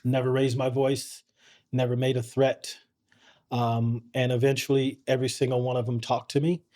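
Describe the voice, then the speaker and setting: A middle-aged man, a podcast guest, telling personal stories in an earnest, measured way with frequent restarts. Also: mid-range voice, flat delivery, dark tone. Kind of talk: reflective conversational storytelling.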